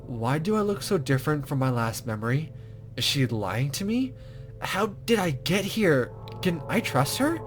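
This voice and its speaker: A man's voice: a little high, a little hiss or hum. A man doing let's play commentary, reading visual novel text aloud and stumbling over unfamiliar words. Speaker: gaming video host.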